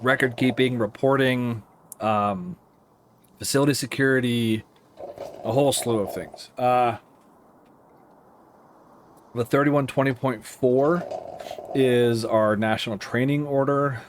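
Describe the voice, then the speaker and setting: A man with an American accent giving casual, explanatory commentary over a simulator game, thinking aloud in a halting, rambling way. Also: mid-range voice, steady tone, a little hiss or hum. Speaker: air traffic controller streaming a simulator game.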